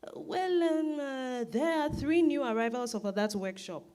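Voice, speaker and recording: A woman's voice: high-pitched, measured pace, darkish tone, pitched above the performer's own voice. Polite, hesitant hotel receptionist acted by a woman. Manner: deferential, hesitant.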